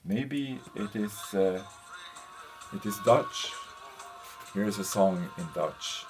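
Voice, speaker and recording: A man presenting an informal slide lecture as a teacher, speaking in a hesitant, halting way with frequent pauses. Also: on the low side, over music, low-quality recording. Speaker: university lecturer presenting a lesson. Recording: clear background noise.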